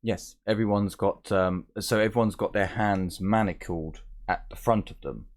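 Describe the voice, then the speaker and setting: Low voiced gm guy explaining rules, kinda halting. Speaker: game master. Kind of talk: describing the scene in a tabletop game.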